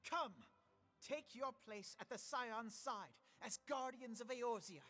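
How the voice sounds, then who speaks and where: High young guy commander, formal and lofty, video game hero type. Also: slow, a bit bright, soft background music, heavily acted. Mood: rousing, solemn. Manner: formal, lofty, archaic phrasing. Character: idealistic young male commander rallying his comrades. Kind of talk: scripted game voice acting, oratory.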